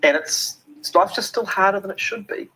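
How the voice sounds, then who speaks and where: A man's voice: sits high, moderate pace, bright, forceful tone, slight background noise, low-quality recording. A man with a New Zealand accent, an interview guest talking earnestly with many ums and restarts. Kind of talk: reflective interview talk.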